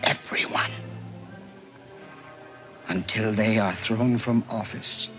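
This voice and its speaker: An actor playing a menacing criminal mastermind in a 1940s film serial, speaking in a deliberate, theatrical, mock-polite manner. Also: male voice, lowish voice, fairly even intonation, fairly bright tone. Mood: cold threat. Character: menacing male criminal mastermind posing as voice of death. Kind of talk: 1940s film serial villain acting, radio broadcast speech. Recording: 1940s film soundtrack, music behind.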